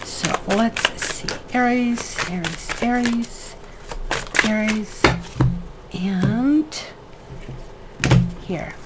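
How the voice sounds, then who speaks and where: A woman's voice: fairly high pitch, very slow, long pauses, heavy background noise. A woman from Croatia with a Croatian accent, giving a rambling, casual tarot reading full of fillers and tag questions. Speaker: tarot reader.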